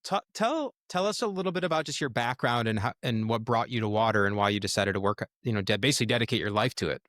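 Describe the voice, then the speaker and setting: A man's voice: lowish voice, animated, quick pace, fairly bright tone, no echo. Brief: male host, casual and loose, dry close sound. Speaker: podcast host. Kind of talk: conversational interview.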